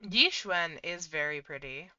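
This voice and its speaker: Very bright, lively woman streamer. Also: sits low, unhurried, no room sound, highs cut off. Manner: informal, reactive. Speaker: game streamer. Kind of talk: casual livestream chat over gameplay.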